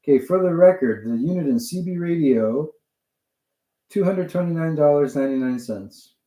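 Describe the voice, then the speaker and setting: A man rambling casually on a livestream, halting and digressive as he thinks aloud while showing his purchases. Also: fairly high pitch, expressive, dark tone, low-quality recording. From Canada.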